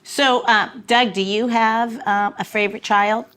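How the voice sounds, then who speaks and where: A woman's voice: a little high, fairly even intonation, measured pace, fairly bright tone, clear, resonant tone. A woman hosting a casual interview podcast in a playful, conversational manner. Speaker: podcast host.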